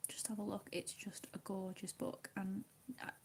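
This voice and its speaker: A woman chatting casually with her viewers while she colours, speaking softly, often half in a whisper and a little hesitant. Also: mid-range voice, completely flat delivery, normal speed, creaky. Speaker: livestream host.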